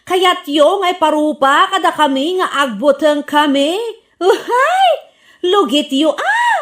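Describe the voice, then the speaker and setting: Woman acting a high, feisty Ilocano scolder. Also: very animated, fairly bright tone, exaggerated voice. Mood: angry scolding. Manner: brash, taunting, drawn-out. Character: feisty, scolding Filipino who picks fights unafraid. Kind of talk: Ilocano radio comedy drama acting.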